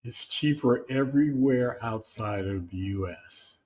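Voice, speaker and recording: A man's voice: mid-range voice, a little slow, a little mellow. A man co-hosting a livestream, adding short remarks and agreeing with his co-host. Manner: brief interjections and agreement. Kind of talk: casual livestream talk.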